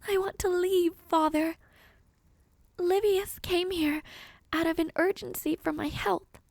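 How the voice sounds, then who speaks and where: A woman playing a gravely ill young princess in an audio drama, gentle but resolute, with soft, breathy, formal and pleading speech. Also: very high voice, fairly even intonation, unhurried, no echo, exaggerated voice. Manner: earnest, formal storybook diction. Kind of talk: audio roleplay drama acting.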